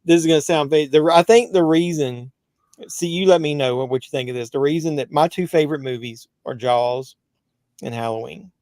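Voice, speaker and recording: A man in his fifties hosting a casual live movie chat, relaxed, reading viewer comments aloud. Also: on the high side, brisk, no echo. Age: 50s. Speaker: YouTube movie channel host.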